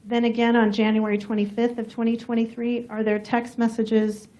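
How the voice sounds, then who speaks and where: A soft-spoken woman prosecutor questioning a witness in a courtroom, measured and methodical. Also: on the high side, flat delivery, rough recording. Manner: measured, formal, methodical questions with some fillers.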